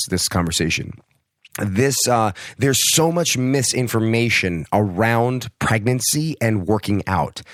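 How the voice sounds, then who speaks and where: Hoarse man rambling through a podcast intro. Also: lowish voice, dry recording, clean studio sound. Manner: rambling, many fillers and restarts. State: hoarse from a cold. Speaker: podcast host, personal trainer.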